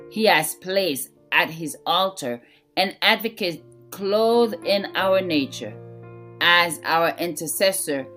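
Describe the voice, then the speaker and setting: A woman reading a scripted devotional in a measured, deliberate way. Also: lowish voice. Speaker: devotional reader. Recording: soft guitar music behind voice. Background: gentle plucked guitar music.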